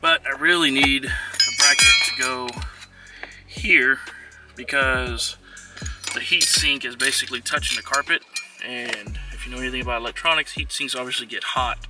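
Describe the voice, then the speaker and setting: A man with a mid-pitched voice presents a casual how-to vlog. He speaks informally, thinking aloud as he works, with music clearly audible in the recording. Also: moderate pace. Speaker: vlogger and trucker showing a DIY install.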